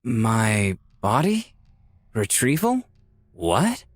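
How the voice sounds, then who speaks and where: An earnest, polite young man played by a male actor in a visual novel game, a little bewildered yet smooth-talking. His pitch moves around a lot and the recording is close and dry. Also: wildly swooping pitch, extremely slow, no room sound, full character voice. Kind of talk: visual novel character acting.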